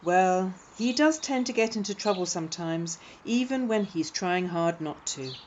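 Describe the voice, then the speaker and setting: A woman reading a scripted children's story in an expressive, measured way. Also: fairly low pitch, lo-fi sound, a little hiss or hum.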